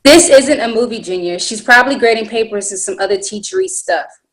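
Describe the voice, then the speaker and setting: A woman plays a sensible teenage girl at a table read. Her voice sits slightly high, and she sounds scolding and unimpressed. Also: lively intonation, a little fast. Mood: exasperated. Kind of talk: table read of a pilot script.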